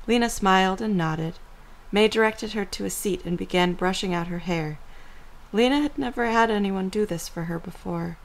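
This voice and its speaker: A woman's voice: on the low side, creaky, rough recording. A woman narrator reading a scripted story for an audio drama.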